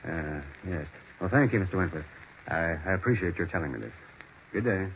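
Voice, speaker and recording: Polite probing sleuth, low-pitched, hesitant, 1940s radio drama, muffled, faint noise. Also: male voice, lively intonation, fairly quick. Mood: flustered, courteous. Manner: questioning, hesitant, courteous. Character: polite, probing amateur investigator, slightly hesitant. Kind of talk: 1940s radio mystery acting.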